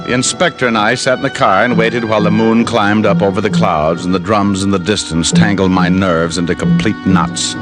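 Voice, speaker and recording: An actor playing a wry, hardboiled American private detective, narrating and wisecracking in an old radio drama. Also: male voice, on the low side, fairly even intonation, fairly quick, few pauses, music in the background, lightly acted. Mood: tense storytelling. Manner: dry, wisecracking.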